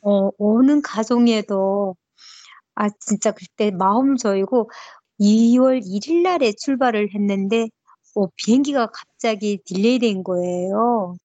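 Interviewee: North Korean-accented woman in her thirties, mellow dark voice, emotional halting storytelling, muffled dry lo-fi capture. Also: medium pitch, no room sound. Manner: emotional storytelling, frequent fillers and restarts. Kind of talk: personal recollection in an interview. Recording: dull lo-fi dry recording.

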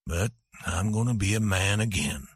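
A man voicing a bitter, resentful rancher husband who talks slowly, low and brooding. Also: lively intonation, breathy edge, no echo, studio quality.

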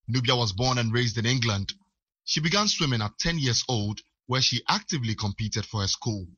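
A man narrating a sports news report in a measured way. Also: mid-range voice, expressive, sharp tone, highs cut off.

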